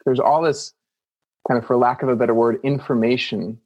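A man's voice: medium pitch, fairly even intonation, a little fast, lo-fi sound. A man hosting a podcast, asking thoughtful, searching questions and backing up his guest with "yeah". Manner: searching and thoughtful, circles around words, affirms with "yeah". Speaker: podcast host. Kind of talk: casual interview questions.

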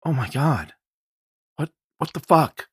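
A man's voice: fairly high pitch, expressive, slow, a bit bright, slightly rough, dry recording, clean studio sound, voice pushed up, exaggerated voice. A man reading as a caring, informal woman friend. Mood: shocked, tearful. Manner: informal, familiar. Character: emotional, caring female friend helping out. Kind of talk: audiobook character dialogue.

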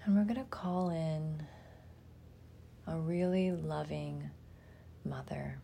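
Female meditation guide, soft and mellow, low register, breathy phrase endings, faint rumble behind. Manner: soft, soothing, often trailing into breathiness, with long pauses.

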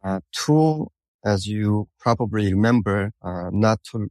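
A man in his thirties from North Korea with a Korean accent, answering interview questions in a halting, thoughtful, explanatory way. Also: fairly low pitch, expressive, mellow, dry recording, clean studio sound. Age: 30s. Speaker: podcast guest recounting his life.

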